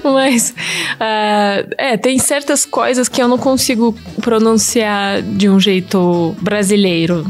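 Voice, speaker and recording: A woman's voice: mid-range voice, steady tone, slow, faint music behind. Russian-accented woman, giggly guest. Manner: giggly, friendly. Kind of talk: casual interview chat.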